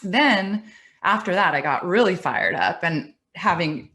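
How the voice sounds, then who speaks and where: A middle-aged woman with a low voice tells her story in a webinar. She speaks conversationally, with restarts and light laughs, over a computer mic. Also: very animated, measured pace, fairly bright tone. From United States. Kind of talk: presentation talk.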